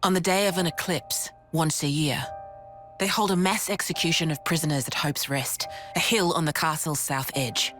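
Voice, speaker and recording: An actor voicing a gruff, matter-of-fact, world-weary resistance leader in a video game cutscene. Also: male voice, fairly high pitch, fairly even intonation, normal speed, sharp tone. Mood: grave briefing. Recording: dramatic game music behind voice.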